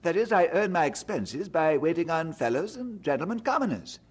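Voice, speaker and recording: An actor playing a polite, modest young English student in an audio drama, explaining himself courteously. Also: male voice, a little high, very animated, moderate pace, slightly rough. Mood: polite, explanatory. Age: young adult.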